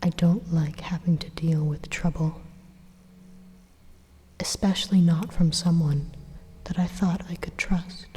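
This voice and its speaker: An evil supercomputer , acted by a woman, murmurs inside your head in a dark, breathy whisper. It is slow and deliberate, calmly threatening while it coaxes, in ASMR roleplay style. The whisper hangs in a long reverb. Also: on the low side. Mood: quiet menace.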